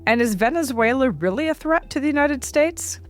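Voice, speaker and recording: A woman's voice: sits high, expressive, fairly quick, soft background music. A woman hosting a policy podcast, reading a scripted intro and asking probing interview questions in a poised manner.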